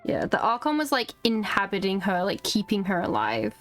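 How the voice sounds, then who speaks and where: A woman's voice: medium pitch, animated, moderate pace, fairly bright tone, soft background music. A woman chatting casually as a game streamer, musing aloud in an informal, offhand commentary.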